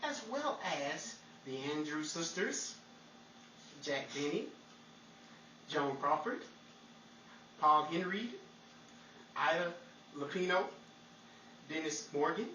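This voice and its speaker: Man playing a bright-voiced 1940s radio host. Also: fairly high pitch, expressive, takes it slowly, long pauses, recorded from a distance, heavily acted. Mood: smooth announcing. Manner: theatrical, salesmanlike. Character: upbeat, smooth-talking 1940s radio show host. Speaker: host and announcer. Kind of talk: 1940s radio announcing and comedy acting. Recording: echoey room, off mic, faint noise.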